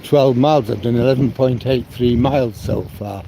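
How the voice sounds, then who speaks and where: A man's voice: medium pitch, normal speed, gravelly. Man, mixed northern English accent, casual walking vlogger, answers comments, outdoor noise behind. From Manchester, England. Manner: chatty, answers viewers' comments by name. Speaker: livestream vlogger. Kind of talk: casual walking livestream chat. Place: outdoors on a riverside promenade.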